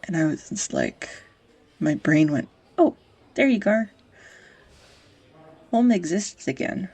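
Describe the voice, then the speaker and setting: Woman acting as nervous young woman, audio roleplay, husky, creaky, halting confessional delivery, tender, close-miked. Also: fairly low pitch, animated, darkish tone, exaggerated voice. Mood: tender, vulnerable. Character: anxious, rambling, tender young woman reuniting with old sweetheart. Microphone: close USB studio microphone.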